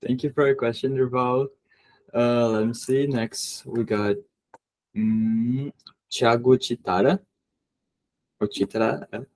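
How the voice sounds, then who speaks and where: Slow, relaxed male host. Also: mid-range voice, low-quality recording. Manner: relaxed, inviting speakers in. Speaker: host moderating the call. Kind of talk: moderating a video call. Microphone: video call.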